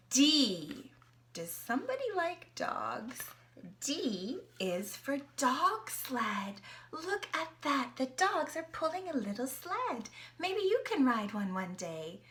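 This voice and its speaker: Bright, animated woman reading to a child. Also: high voice, takes it slowly. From Canada. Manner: animated, questioning the listener, gasping at pictures. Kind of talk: children's story reading with sing-along.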